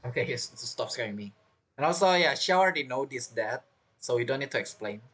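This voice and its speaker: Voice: medium pitch, animated, a little fast, fairly bright tone. A game streamer giving chatty, reactive commentary over a playthrough. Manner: chatty, reactive, often laughing. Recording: game music behind the voice.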